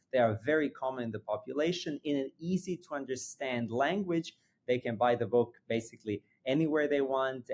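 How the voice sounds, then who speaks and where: Man from Croatia, sleep doctor, young adult, explanatory interview talk, quick to joke. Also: mid-range voice, darkish tone. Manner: explanatory, jokes and laughs easily. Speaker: sleep researcher and physician guest. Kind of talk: conversational interview answers.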